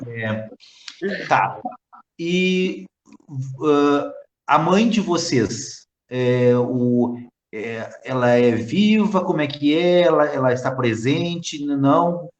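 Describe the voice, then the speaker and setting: A man's voice: on the high side, lively intonation, slow, lo-fi sound. A man hosting a casual live interview, teasing his guests in a friendly way. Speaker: interview host. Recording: echoey room.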